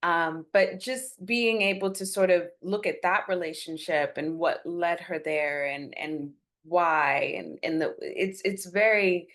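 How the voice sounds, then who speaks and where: A woman giving conversational feedback on a script in an encouraging, measured manner. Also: fairly low pitch, a bit bright.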